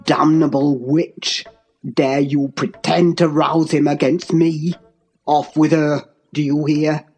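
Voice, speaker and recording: Woman acting as brutal older master, low-pitched, harsh, thundering, commanding, slow menace, storybook villain. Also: darkish tone, slightly rough, faint music behind, full character voice. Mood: furious. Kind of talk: dramatized audiobook reading.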